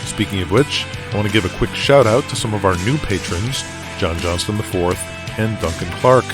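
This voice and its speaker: A man reads scripted narration as host of an audio drama. His voice sits a little low, and music plays clearly behind him. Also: moderate pace.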